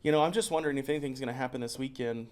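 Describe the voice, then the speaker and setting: A man's voice: medium pitch, very fast, darkish tone. A man co-hosting a podcast, casually reading news notes aloud and stumbling over unfamiliar place names. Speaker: podcast co-host.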